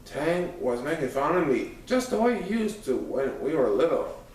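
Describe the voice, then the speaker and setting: A man's voice: on the high side, very animated, moderate pace, darkish tone, slight background noise. A man reading a first-person story aloud as a scripted narration. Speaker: audiobook narrator. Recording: echoey room, far from microphone.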